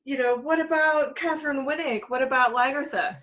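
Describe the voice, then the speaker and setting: A woman with a mid-pitched voice chats casually as a podcast co-host. Her delivery is informal and enthusiastic, with salty language, like someone sharing a favorite hobby with friends. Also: a little fast, fairly bright tone. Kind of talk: casual panel chat about games and movies.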